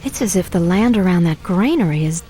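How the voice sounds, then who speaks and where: An actress playing a poised, thoughtful young sorceress in a fantasy video game, composed and articulate. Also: female voice, fairly low pitch, expressive, a little fast, dark tone, close to an everyday voice. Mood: concerned.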